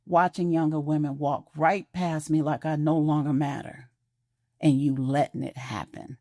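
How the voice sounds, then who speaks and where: A woman's voice: low-pitched, fairly even intonation, normal speed, warm tone, dry recording. A woman voicing a hurt wife in her fifties who speaks wounded and pointed, recorded close and dry. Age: 50s. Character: hurt middle-aged wife, wounded and direct.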